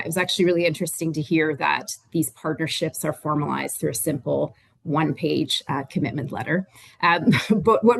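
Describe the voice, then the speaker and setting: A woman's voice: sits low, creaky, dry recording. A woman who co-wrote a report, answering a moderator's question on an online panel in an earnest, explanatory way with frequent restarts.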